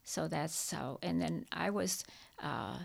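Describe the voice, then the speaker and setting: An older woman whose first language was Czech, recalling her past in an interview in a halting, reminiscing way with many restarts. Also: deep voice, vocal fry. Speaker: interviewee, hiking club member. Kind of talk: oral history interview.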